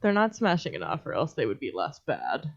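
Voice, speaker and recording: A woman co-hosting a podcast in casual chat with deadpan teasing, on slightly lo-fi audio. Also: extremely low pitch, fairly quick, gravelly. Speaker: podcast co-host.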